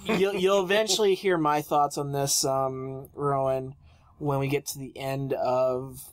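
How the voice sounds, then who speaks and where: A man co-hosting a podcast in casual banter, halting and teasing as he talks. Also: mid-range voice, fairly even intonation, no room sound. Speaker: podcast co-host.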